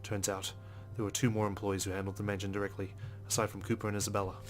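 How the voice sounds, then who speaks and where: An actor playing a dogged, skeptical male police detective in a visual novel game, speaking in a measured, serious, matter-of-fact way over music. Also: on the low side, completely flat delivery, close to an everyday voice. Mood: brooding, resolute. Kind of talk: scripted visual novel narration and dialogue. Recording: music behind the voice.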